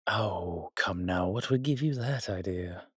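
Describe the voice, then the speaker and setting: An arrogant, menacing vampire warrior, acted by a man in an audio roleplay, speaking low and unhurried. He is theatrical and haughty, suave with his companion, and the sound is close and dry. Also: husky, no room sound. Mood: amused disdain. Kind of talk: dramatic roleplay monologue, addressing a listener.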